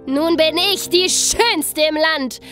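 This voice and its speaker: High, gloating wicked fairy-tale queen. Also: a bit bright, soft background music. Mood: gloating triumph. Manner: drawn-out, theatrical. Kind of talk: fairy tale audio play.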